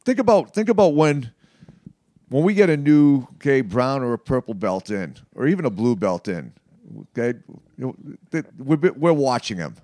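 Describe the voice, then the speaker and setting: Guy late 50s chatting in an interview, halting but emphatic, really dry sound, normal pitch. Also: sing-song, warm tone, no echo. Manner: halting, emphatic, often checks with "okay". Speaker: martial arts practitioner being interviewed. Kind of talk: conversational interview answers.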